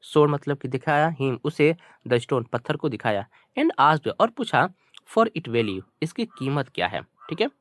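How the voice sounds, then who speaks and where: Male Indian tutor, Hindi native, lively swooping intonation, dry close sound, deliberate English reading with repeated explanations. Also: fairly high pitch, no room sound. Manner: repetitive, slow word-by-word reading of English, colloquial explanations. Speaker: English teacher explaining a story. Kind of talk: bilingual lesson, reading English phrases then explaining them in Hindi.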